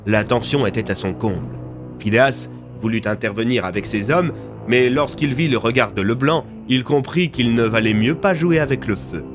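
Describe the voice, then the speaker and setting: A man's voice: medium pitch, moderate pace, over music. A man reading scripted French audio fiction as its narrator.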